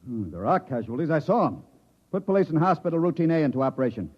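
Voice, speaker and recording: An actor playing a brusque chief engineer, giving urgent, clipped orders in a 1950s radio drama. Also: male voice, fairly high pitch, sing-song, fast, highs cut off. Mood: commanding. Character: brusque, commanding male chief engineer in an emergency. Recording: faintly noisy 1950s radio recording.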